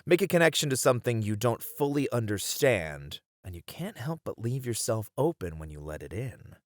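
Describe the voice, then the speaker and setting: A man's voice: mid-range voice, very animated, normal speed, no room sound, close to an everyday voice. A man playing a hesitant but intrigued game developer in a conversational ASMR roleplay, casually thinking aloud on a close mic. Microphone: close studio mic.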